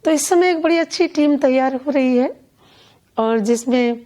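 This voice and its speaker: An older woman being interviewed as a poet and event organiser, speaking in a measured, earnest, deliberate way. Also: high-pitched, dark tone. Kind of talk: reflective conversational interview.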